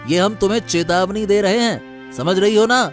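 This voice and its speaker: A man's voice: high voice, normal speed, soft background music, lightly acted. A man voicing a greedy, menacing, insistent village conspirator in a cartoon story. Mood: threatening.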